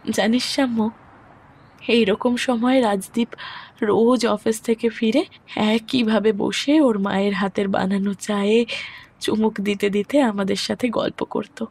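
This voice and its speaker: A woman's voice: medium pitch, fairly even intonation, measured pace, darkish tone, breathy edge. A woman playing a weary, reserved young widowed mother in an audio story, speaking in a subdued, hesitant way. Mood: wistful grief. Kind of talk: Bengali audio story acting.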